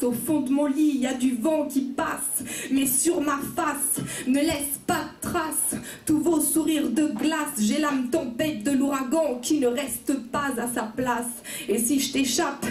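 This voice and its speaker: A woman's voice: high voice, darkish tone, recorded from a distance. A woman reciting slam poetry at a live session. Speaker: slam poet. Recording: echoey room from afar, music behind.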